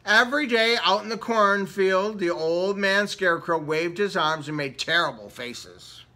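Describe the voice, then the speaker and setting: A man's voice: sits high, unhurried, bright, forceful tone. A man reading a children's storybook aloud, dramatically stretching and repeating words for effect. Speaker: storybook reader. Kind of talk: animated read-aloud for children.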